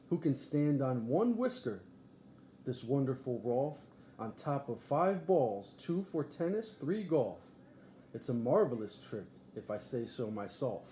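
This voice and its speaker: A man's voice: medium pitch, mellow, lightly acted. A man voicing an eager, boastful boy showman in a storybook, barking grandly like a ringmaster. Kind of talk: storybook read-aloud character. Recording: echoey room, faint background noise.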